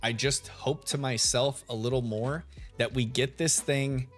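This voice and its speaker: A man's voice: fairly even intonation, unhurried. Laid-back man chatting on a game stream. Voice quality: deep radio-style voice. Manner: laid-back, chatty, greets chat members by name. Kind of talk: live stream chat while shiny hunting. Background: soft lo-fi music.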